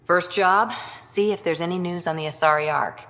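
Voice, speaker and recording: A woman's voice: fairly low pitch, moderate pace, a bit bright, long reverb tail. A woman playing a guarded, proud female soldier and second-in-command in a video game, speaking in a blunt, dry manner. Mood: determined. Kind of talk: video game dialogue acting.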